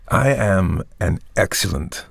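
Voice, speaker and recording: An actor playing a haughty, fastidious, vampire-like tech entrepreneur in an audio play, speaking with pompous formality. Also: male voice, sits low, gravelly, breathy edge, no echo, studio quality, exaggerated voice. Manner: pompous, formal, precise diction. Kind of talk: theatrical audio play acting.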